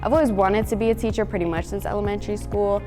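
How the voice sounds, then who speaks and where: A teenage girl student talks with eager, earnest warmth about helping her classmates. Her pitch sits in the middle for a woman. Music plays clearly in the background. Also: steady tone, quick pace. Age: teens.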